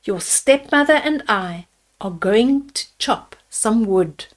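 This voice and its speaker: A woman voicing a gentle, tender woodcutter father in a fairy tale, speaking softly to his children. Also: on the high side, expressive, slow pace.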